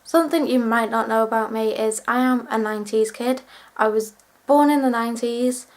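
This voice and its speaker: A woman's voice: on the high side, moderate pace. A twenty-year-old woman vlogging to camera, chatting in a rambling, self-deprecating way while sick with a cold and cough. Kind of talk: casual chat to camera.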